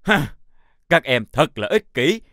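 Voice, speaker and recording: A man's voice: a little high, very animated, slow pace, fairly bright tone, no room sound, clean studio sound, full character voice. A man voicing a stern but forgiving older male schoolteacher in a children's cartoon, with theatrical sighs. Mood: stern, disappointed. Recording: music behind.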